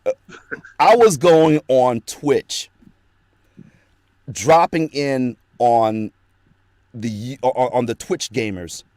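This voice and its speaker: A man's voice: mid-range voice, extremely slow, long pauses, a little mellow, dry recording. A man who works as a voice actor, talking earnestly and at length as a guest in a casual interview, recorded in a small home studio. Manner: earnest, rambling storytelling.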